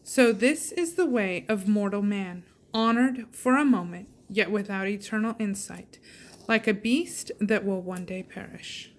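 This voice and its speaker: A woman reading scripture aloud with measured, deliberate delivery. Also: mid-range voice, a little hiss or hum. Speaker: reader and reading coach.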